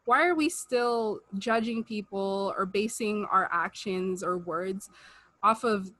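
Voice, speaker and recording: A woman's voice: mid-range voice, slow, fairly bright tone, no echo. A young woman co-hosting a podcast, talking earnestly in casual chat on a video call from home. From United States. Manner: earnest, talks with rising feeling, many "like" and "you know". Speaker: podcast co-host. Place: room at home, indoors. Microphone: video call webcam mic.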